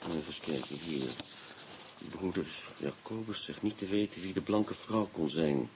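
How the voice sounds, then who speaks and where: An actor playing a shrewd police inspector in a radio play, asking clipped, politely insistent questions and muttering to himself. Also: male voice, deep voice, unhurried, a little mellow. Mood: musing, muttering. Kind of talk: Dutch radio drama acting. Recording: dull, noisy old radio recording.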